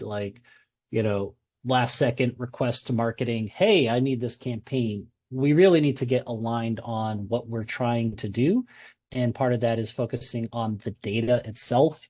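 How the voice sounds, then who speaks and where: A man with a Boston accent presenting at a user group meetup. His voice is mellow and dark. He talks conversationally, with frequent fillers and restarts. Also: medium pitch, steady tone, moderate pace.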